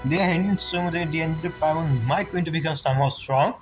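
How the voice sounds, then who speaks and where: Higher-voiced man musing over a game. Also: quick pace. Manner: rambling, musing aloud. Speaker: gaming streamer. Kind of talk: casual game playthrough commentary, reading dialogue aloud. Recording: game music behind the voice. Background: game music.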